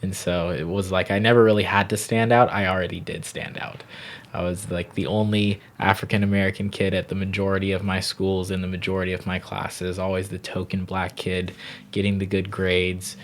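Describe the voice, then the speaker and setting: Man, 30s, from Barbados; very low, even delivery, calm and reflective interview guest. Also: a little hiss or hum. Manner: unhurried, thoughtful, frequent "you know". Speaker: yoga teacher and wellness guest.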